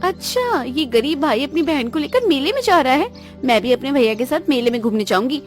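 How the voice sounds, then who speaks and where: A woman's voice: high-pitched, big pitch swings, fairly quick, soft background music. A woman voicing a spoiled, envious young sister in a cartoon, whiny and dramatic. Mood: boastful. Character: spoiled, envious young sister craving her brother's affection.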